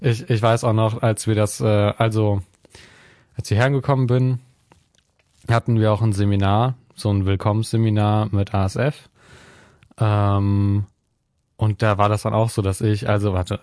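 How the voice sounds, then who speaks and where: A man's voice: lowish voice, level tone, normal speed, a little mellow, no room sound, studio quality. A young man chatting casually, telling anecdotes and laughing along. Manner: storytelling, laughs through anecdotes. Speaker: volunteer working in an archive. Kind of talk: casual chat.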